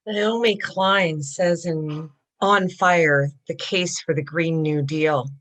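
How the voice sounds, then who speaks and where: A woman's voice: fairly low pitch, fairly bright tone. A woman speaker at a worship service, reading quotations in a solemn, declamatory manner.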